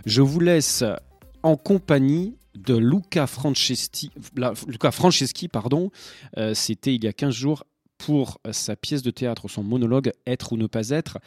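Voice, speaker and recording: A man's voice: medium pitch, measured pace, a little mellow, dry recording, clean studio sound, faint music behind. A man hosting a community radio show, reading announcements with many hesitations and restarts. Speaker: radio host.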